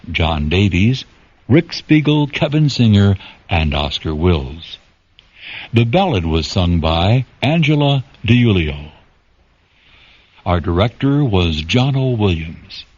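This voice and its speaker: Low, measured male radio narrator. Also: very animated, darkish tone, no room sound. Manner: measured, formal. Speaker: announcer and narrator. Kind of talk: scripted radio drama narration. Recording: dull recording under music.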